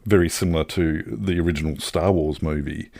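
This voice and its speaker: A man co-hosts a casual film podcast in a low-pitched voice. He talks in a wry, wordy way with colourful turns of phrase, and the sound is dry and free of room echo. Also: a little fast, vocal fry, darkish tone. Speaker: podcast co-host reviewing films. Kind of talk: casual chat.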